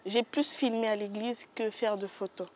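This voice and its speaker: A young woman with a Congolese French accent, a photographer being interviewed in a studio, soft-spoken and hesitant with many pauses. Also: mid-range voice, steady tone. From Democratic Republic of the Congo. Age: young adult. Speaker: guest photographer. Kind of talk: interview answers.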